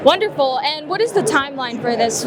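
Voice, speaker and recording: Woman field reporter, high voice, upbeat, working over a loud crowd in a busy hall. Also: lively intonation, loud music behind the voice. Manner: upbeat, quick follow-up questions. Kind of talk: on-site news interview. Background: crowd chatter and noise.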